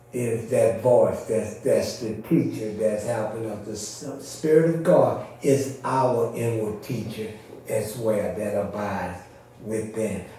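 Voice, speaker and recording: A man's voice: on the low side, warm tone. A man preaching in an informal, teacherly way, explaining scripture and often checking that his listeners follow. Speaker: preacher leading a church service. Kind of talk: informal expository sermon. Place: reverberant indoor church service. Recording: echoey room, distant mic.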